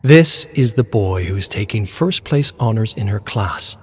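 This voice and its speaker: A man with a fairly low voice narrates game lore very slowly. The delivery is scripted and dramatic, told deliberately with pauses for effect. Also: expressive, darkish tone, husky.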